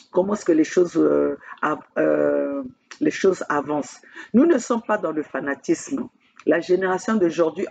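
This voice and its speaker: A woman from Congo, addressing her camera as a political activist in an emphatic, repetitive way, halting often on fillers. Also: on the low side, darkish tone, breathy edge, dull audio. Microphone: speaking to own camera.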